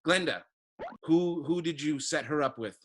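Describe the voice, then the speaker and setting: A man playing a pompous, evasive political candidate, halting and self-repeating, who swells into grand slogans. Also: on the high side, fairly bright tone, a little hiss or hum, close to an everyday voice. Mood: smug, evasive. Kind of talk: theatrical musical comedy acting.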